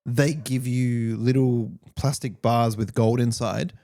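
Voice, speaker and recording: An Australian man chatting casually as a podcast guest, telling anecdotes in a relaxed conversational way. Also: medium pitch, takes it slowly.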